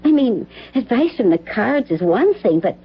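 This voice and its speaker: An actress playing an anxious, well-mannered grown daughter in an old-time radio drama. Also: female voice, on the high side, sing-song, moderate pace, breathy edge, heavily acted. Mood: worried. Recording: muffled, noisy old radio recording.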